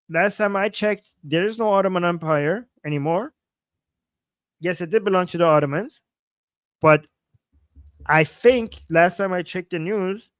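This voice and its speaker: A man's voice: high voice, fairly bright tone, no room sound, studio quality. A man in his forties hosting a casual livestream, reading viewer comments aloud and reacting with playful, chuckling asides and frequent repetitions. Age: 40s. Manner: playful, chuckling, repeats himself while reacting to chat. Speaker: livestream host.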